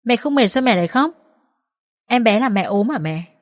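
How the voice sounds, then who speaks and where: A woman's voice: fairly high pitch, expressive, normal speed, no room sound, clean studio sound, pitched above the performer's own voice, lightly acted. A small, affectionate boy as a female narrator puts him on talks politely and innocently. He sounds worried and questioning, in a storybook dialogue style. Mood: tender concern. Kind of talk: dramatised audio story dialogue.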